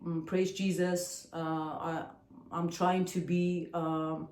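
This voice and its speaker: A woman in her forties from Armenia, with an Armenian accent and Armenian as her first language, talking to the camera in a personal vlog, pausing often and searching for her words. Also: fairly low pitch, little pitch movement, darkish tone, roomy sound. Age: 40s. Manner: hesitant, searching for words, frequent fillers. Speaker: singer and channel host.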